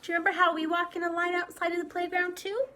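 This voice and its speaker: A woman preschool teacher reading a picture book aloud at circle time, animated and sing-song, pausing to ask the children questions. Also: very high-pitched, a little hiss or hum. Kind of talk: storybook read-aloud to young children.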